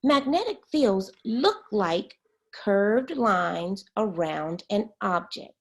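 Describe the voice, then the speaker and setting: Slow, animated woman reading to children. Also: medium pitch, vocal fry. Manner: expressive, explains words as she goes. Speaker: teacher reading a book aloud. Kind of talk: read-aloud of a children's science book.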